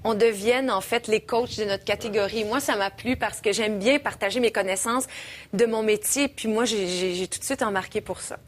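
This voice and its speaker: A woman's voice: mid-range voice, normal speed. A woman from Quebec with a Québécois French accent, chatting as a TV studio guest, with many fillers and restarts. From Quebec, Canada. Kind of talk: casual TV studio chat.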